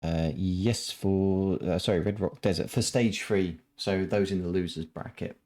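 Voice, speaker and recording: A man casting a live strategy game tournament, explaining the format with many pauses and ums. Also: deep voice, fairly even intonation, a little mellow. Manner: halting, many ums, laughs often. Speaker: esports caster.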